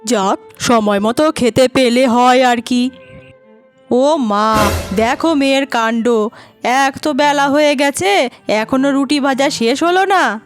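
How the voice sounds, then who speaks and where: Casting: actress as older village mother-in-law for cartoon, high voice, scolding, sarcastic, contemptuous; faint music underneath. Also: slow, long pauses. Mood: scornful taunting. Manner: taunting, scolding, sarcastic rhetorical questions. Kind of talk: cartoon voice acting.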